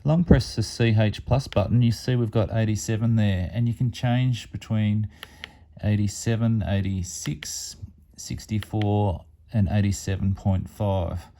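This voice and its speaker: A man's voice: lowish voice, steady tone, a little slow, warm tone. A man giving a casual, plain-spoken hands-on product demonstration as a hobbyist reviewer. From Australia.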